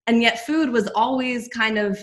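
A woman's voice: on the high side, normal speed. A woman in her thirties from New York, telling her story as an interview guest in a chatty, rambling way. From New York, USA. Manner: chatty, rambling, many "you know" and "like" fillers. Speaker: business co-owner.

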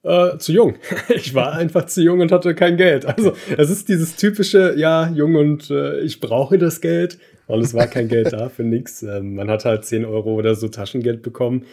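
Relaxed storytelling German man. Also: a little high, normal speed. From Berlin, Germany. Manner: relaxed storytelling with frequent fillers and laughs. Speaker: podcast guest. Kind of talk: casual conversation.

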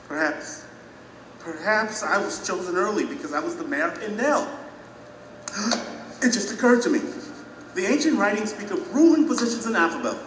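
An actor playing a self-important, naive and eager former mayor in an audio drama, pompous and full of wonder, in an echoey hall. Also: male voice, high voice, animated, moderate pace, pauses often, a bit bright, recorded from a distance, a little hiss or hum. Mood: eager, awed. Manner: pompous, wondering, hesitant when unsettled. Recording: echoey hall, music behind.

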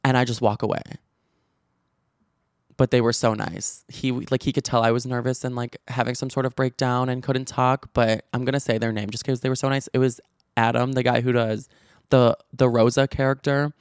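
A man with a stutter chats and gossips as a podcast host, his voice low and gravelly. He fills his talk with likes and restarts, at a middle pitch. The sound is dry and close. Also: little pitch movement, moderate pace, no room sound. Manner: chatty, gossipy storytelling with many likes and restarts. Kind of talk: casual chat.

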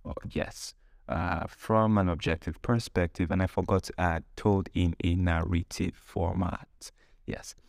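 A very low-voiced man talks in a casual, humorous storytelling way. His voice has a gravelly creak and sounds close and dry. He hosts like a podcaster, slipping into acted-out bits of dialogue. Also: steady tone, measured pace, darkish tone, dry recording. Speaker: podcast host.

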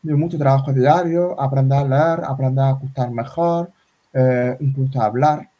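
A man in his forties whose first language is sign language, talking in a casual interview with many halting restarts. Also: mid-range voice, fairly even intonation, warm tone. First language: Spanish Sign Language. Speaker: guest. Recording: slightly dull lo-fi sound.

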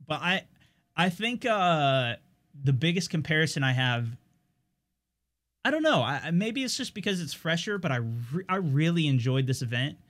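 A man's voice: a little high, big pitch swings, normal speed, a bit bright. A young man hosting his stream, chatting casually with viewers in a rambling way full of fillers and restarts.

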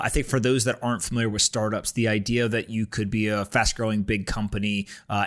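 A man's voice: on the low side, a bit bright. A man hosting a podcast, talking casually as he reads sponsor spots and interviews guests.

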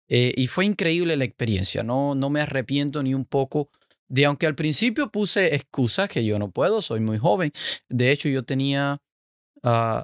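Cuban man, halting and dry. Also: medium pitch, no room sound. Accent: Cuban Spanish. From Cuba. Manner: halting, many fillers and restarts. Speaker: podcast co-host. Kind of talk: casual testimony chat.

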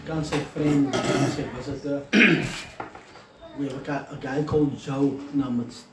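A man who leads a church, giving an informal sermon in a deliberate way and repeating his phrases. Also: mid-range voice, fairly even intonation. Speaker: church leader. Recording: echoey, distant, noisy room recording.

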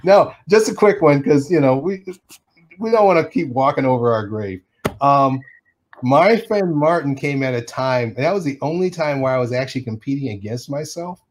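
A man's voice: medium pitch, animated, moderate pace, a little hiss or hum. A middle-aged man from Detroit, an animation artist, telling stories in a casual interview, acting out quotes and laughing often.

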